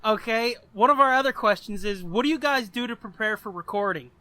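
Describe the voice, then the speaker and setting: A man's voice: high voice, brisk, bright, forceful tone, dry recording, low-quality recording. A man co-hosting a podcast in casual chat, crude and swearing, laughing often. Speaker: podcast co-host.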